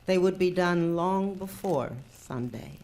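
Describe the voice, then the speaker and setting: A woman's voice: lowish voice, animated, slow, darkish tone. A woman narrating a live radio drama in a solemn storytelling style.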